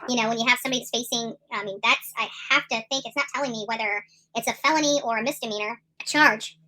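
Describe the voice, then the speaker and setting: Fast, bright-voiced woman livestreamer. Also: fairly high pitch, low-quality recording. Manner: rambling, emphatic, many fillers and restarts. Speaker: YouTube commentary host. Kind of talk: casual livestream commentary.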